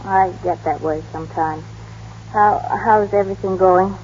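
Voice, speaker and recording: An actress playing a soft-hearted, wistful young American woman in a vintage radio drama, speaking in a gentle, probing way. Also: medium pitch, steady tone, normal speed, close to an everyday voice. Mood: uneasy, subdued. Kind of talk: 1930s-40s radio drama acting. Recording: dull, noisy old radio broadcast.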